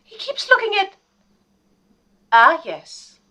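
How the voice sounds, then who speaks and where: A woman's voice: extremely high pitch, huge pitch swings, slow pace, bright, forceful tone, exaggerated voice. A woman playing an eager, chatty, deferential assistant to a lady detective in a period radio drama. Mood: curious, gossipy. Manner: inquisitive, deferential, gossipy.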